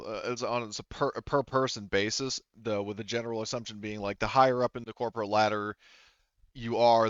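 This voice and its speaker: A man's voice: fairly low pitch, fairly bright tone, smooth, dry recording, highs cut off. A man acting as game master, casually explaining a tabletop roleplaying scene, often repeating words as he works out his phrasing.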